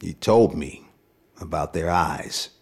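An actor playing a commanding officer debriefing a trooper in a radio drama, measured and authoritative. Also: male voice, rumbling low, heavily acted. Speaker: superior officer. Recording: music bed under dialogue.

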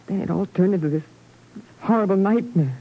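An actress playing an earnest, grieving young widow, anxious but determined, in a 1950s radio drama. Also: female voice, medium pitch, fairly quick, breathy edge. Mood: earnest, distressed. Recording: thin, narrow-band vintage radio recording.

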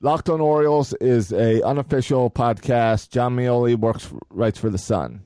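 A man co-hosting a podcast, casually steering the sports talk with calm agreement. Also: mid-range voice, moderate pace, no room sound, clean studio sound. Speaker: podcast co-host.

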